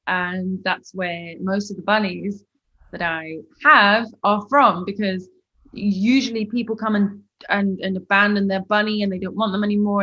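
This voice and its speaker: Bright, bubbly woman musician. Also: medium pitch, dull audio. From Switzerland. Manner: bubbly, chatty, animated. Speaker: musician guest. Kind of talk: casual interview chat.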